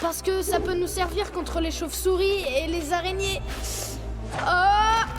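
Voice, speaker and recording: Very high-pitched young tiger cub. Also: female voice, steady tone, measured pace. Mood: reluctant, grumbling. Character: young tiger cub, grumbling but loyal sidekick. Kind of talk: French cartoon dub. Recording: music behind the voice.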